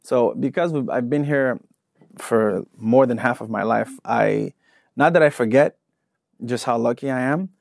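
A man in his thirties from Angola, whose first language is Portuguese, tells his story with lively gestures. He speaks at a middling pitch. The sound is close and dry, recorded in a studio. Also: unhurried, creaky, no echo. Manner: animated storytelling with hand gestures, shifting energy. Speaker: guest artist. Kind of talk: casual interview.

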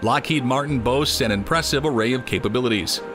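A man giving scripted documentary narration in an even, measured delivery. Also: mid-range voice. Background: music.

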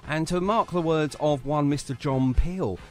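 A man hosting a casual music radio interview, chummy and quick to agree with his guest. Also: fairly high pitch. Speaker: radio show host, interviewer.

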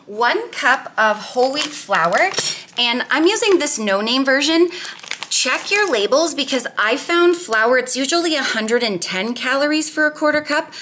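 A woman in her thirties talks through a recipe in a casual, chatty way, leaning on "like" as she goes. Her voice is bright and sits a little high. It is recorded on a camera at mid distance in a home kitchen. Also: lively intonation, a little slow, a little hiss or hum. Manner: chatty and upbeat, lots of "like". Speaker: weight-loss cooking vlogger.

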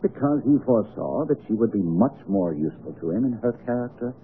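An actor in a radio mystery playing a shrewd, self-assured English detective, deliberate and theatrical in delivery. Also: male voice, medium pitch, expressive, lightly acted. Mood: confident, grave. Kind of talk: radio mystery drama acting. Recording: muffled, hissy old radio broadcast.

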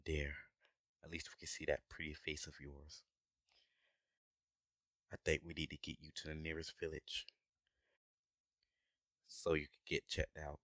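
A man voicing a gruff, protective dragon adventurer in an audio roleplay, talking urgently and with exasperation to his companion. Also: a bass voice, completely flat delivery, unhurried, pauses often, a bit bright, no echo. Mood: concerned, protective. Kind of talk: scripted ASMR audio roleplay acting.

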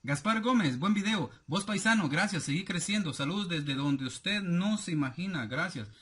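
Male vlogger, thirties, Guatemalan accent. Slightly high, husky voice; loose, familiar delivery while reading viewer comments aloud. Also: animated, normal speed, fairly bright tone. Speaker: YouTube vlogger. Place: small cluttered room indoors at home.

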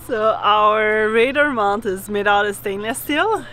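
A woman's voice: medium pitch, measured pace, a bit bright, a little hiss or hum. A woman in her thirties chatting casually as she explains boat repair work outdoors in a boatyard, recorded on a camera mic. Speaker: sailing vlogger. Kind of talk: casual vlog narration.

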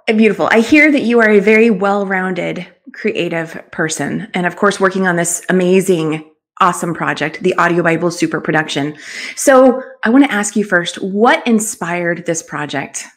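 A woman's voice: fairly low pitch, moderate pace. A middle-aged woman hosting an interview show, introducing and questioning her guest. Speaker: talk show host. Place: small room at home, indoors. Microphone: desk microphone on video call.

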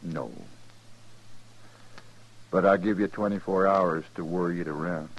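A bitter hired gunman in a vintage radio western. The voice sits low, and the words come sparse and cold, with flat menace. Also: a little slow, low-quality recording. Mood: menacing. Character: bitter, cold hired gunman, man who hates people. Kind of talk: 1950s radio western acting.

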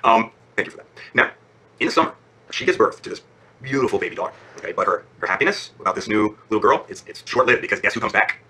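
A man playing an affectionate father who improvises a bedtime fairy tale in an informal, conversational way, checking in with his listener, his voice husky. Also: fairly low pitch, expressive, quick pace, pushed and bright, exaggerated voice. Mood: warm storytelling. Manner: conversational, peppered with check-in questions. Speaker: storyteller. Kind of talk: animated, informal bedtime storytelling.

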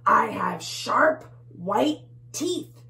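A woman voicing a menacing bug monster who growls and speaks slowly and loudly. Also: on the high side, very animated, gravelly, voice pushed up, heavily acted. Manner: growly, slow and loud.

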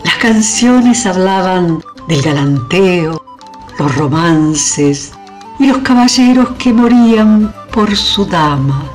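A woman's voice: fairly low pitch, expressive, slow pace, a little mellow, faint music behind. A woman playing a proud, passionate old medieval queen in a radio drama, with an Argentine accent and theatrical, emphatic delivery. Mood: proud, nostalgic. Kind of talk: melodramatic radio drama acting.

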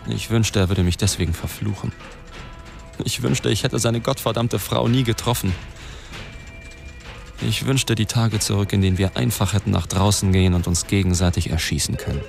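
A man's voice: lowish voice, moderate pace, creaky. An actor playing a self-loathing, cynical reporter who narrates his own story in a brooding, confessional way. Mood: bitter. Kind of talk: first-person radio play narration. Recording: music behind the narration.

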